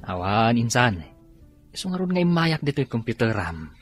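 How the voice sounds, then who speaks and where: A man acting a nosy, cheeky, naive worker in an Ilocano-accented radio drama, with a husky voice. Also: medium pitch, big pitch swings, normal speed, faint music behind. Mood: grumbling.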